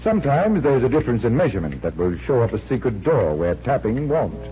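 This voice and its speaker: An actor in a 1940s radio mystery playing a genial, self-assured and skeptical gentleman magician detective. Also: medium pitch, sing-song, fairly quick, soft background music, natural voice. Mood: brisk, curious. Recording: dull, noisy 1940s radio recording.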